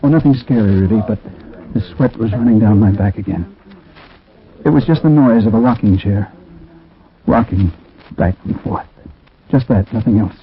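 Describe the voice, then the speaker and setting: A man's voice: lowish voice, normal speed, pauses often, close to an everyday voice. An actor playing a troubled man who narrates an eerie story in 1940s radio drama style, reflective and conversational with hesitant asides. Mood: haunted, subdued. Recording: tinny, muffled 1940s radio recording.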